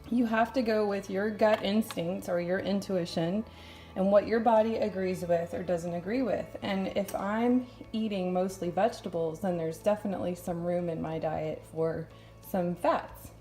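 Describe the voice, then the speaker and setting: Texan woman vlogger, chatty and rambling, warm mellow voice, phone-recorded in a home kitchen. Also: mid-range voice, normal speed, faint music behind. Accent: light Texan. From Texas. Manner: chatty and rambling, laughs often. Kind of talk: casual cooking demo chat.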